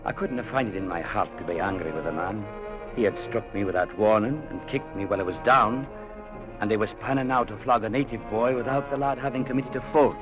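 An actor playing a stern, principled adventurer who narrates an old radio drama in a measured, deliberate way. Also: male voice, lowish voice, close to an everyday voice. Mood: grim resolve. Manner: measured, deliberate, grimly resolute. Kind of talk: 1940s-50s radio drama narration and acting. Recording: muffled old radio recording with music behind.

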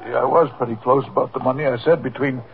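A man's voice: mid-range voice, fairly even intonation, quick pace, creaky, noisy background, lightly acted. An actor playing a stubborn, hurt man in his seventies in a 1950s radio drama. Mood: grumbling, indignant. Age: 70s (figure). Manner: stubborn, plaintive. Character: stubborn, hurt old runaway father, seventy-eight, male. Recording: muffled narrow-band 1950s radio recording.

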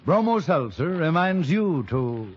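A man's voice: fairly high pitch, sing-song, unhurried, background noise. A man announcing in a formal, declamatory 1940s sponsored radio style. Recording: muffled old radio recording, music behind.

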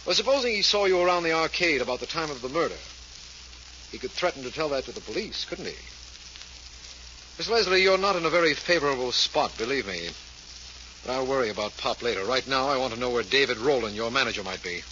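An actor playing an earnest law official in a 1940s radio drama, formal, polite and firm. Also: male voice, mid-range voice, animated, fast, pushed and bright. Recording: noisy, muffled 1940s radio recording.

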